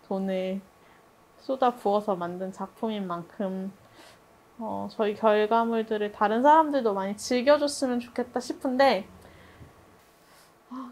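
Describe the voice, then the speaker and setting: A woman's voice: mid-range voice, darkish tone. A woman speaking as a production director in a reflective group discussion, thoughtful and hesitant, often laughing a little as she talks.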